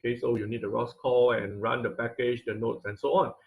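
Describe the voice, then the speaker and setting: A man's voice: mid-range voice, steady tone, a little fast, creaky, darkish tone. A man teaching an online robotics class, explaining step by step with many "uh"s and "right?" checks. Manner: explanatory, frequent "uh" and "right?" tag questions. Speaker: robotics instructor. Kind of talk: online class lecture over slides.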